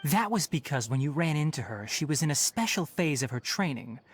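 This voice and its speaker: Young male game ranger: scholarly, dutiful, wry, articulate, animated intonation. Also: on the high side, soft background music. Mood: helpful. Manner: articulate, measured, explanatory, slightly wry. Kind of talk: video game voice acting.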